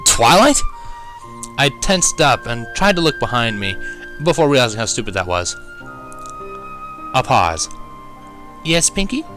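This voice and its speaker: A man reading a first-person story aloud as an expressive narrator. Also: mid-range voice, normal speed, long pauses, over music, rough recording. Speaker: fan fiction reader, narrator.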